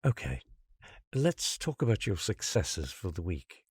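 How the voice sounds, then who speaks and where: An actor playing a gentle, encouraging therapist in an audio drama, patient and reassuring. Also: sits low, big pitch swings, a little slow, husky, no room sound, heavily acted. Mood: encouraging, inquiring.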